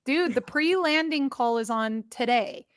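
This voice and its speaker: A woman's voice: sits high, unhurried, smooth. A woman on a livestream panel chatting casually, in an emphatic, slangy, conspiratorial manner. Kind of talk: casual chat.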